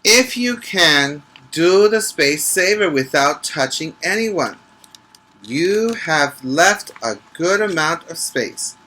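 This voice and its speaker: A man reading the part of a patient, firm father who gives his young son measured, coaxing advice in a storybook. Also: a little high, animated, bright, forceful tone, full character voice. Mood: encouraging.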